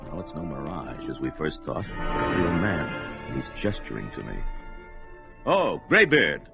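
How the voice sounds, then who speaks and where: A man's voice: very deep voice, very animated, normal speed, gravelly, exaggerated voice. An actor playing a bold young male barbarian hero on horseback, in 1940s radio acting style. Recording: dull old radio recording with music.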